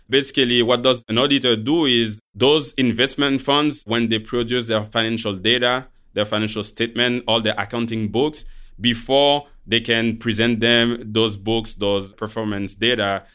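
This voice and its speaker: A man's voice: medium pitch, steady tone, normal speed, bright, forceful tone, clean tone. A man from Haiti with a Haitian French accent, a native speaker of Creole and French, explaining things conversationally as a podcast co-host. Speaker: podcast co-host, portfolio manager. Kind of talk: conversational interview, explaining career.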